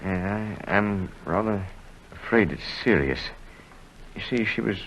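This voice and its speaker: A calm, reserved doctor. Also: male voice, lowish voice, a little hiss or hum. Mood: grave. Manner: measured, hesitant. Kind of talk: 1940s radio acting. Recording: lo-fi old radio recording.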